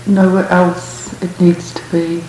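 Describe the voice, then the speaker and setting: A woman teaches guided meditation in a soft-edged, mellow voice that stays very even in pitch. She is calm and leaves long pauses between short phrases. The recording is strongly echoey, with a faint hiss. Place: large room at a retreat center.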